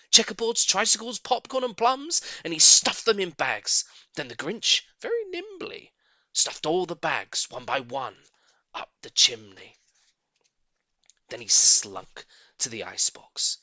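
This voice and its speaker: Slow, wildly expressive male storybook narrator. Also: a little high, dry recording. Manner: theatrical, playful, expressive. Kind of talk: dramatic rhyming storybook narration.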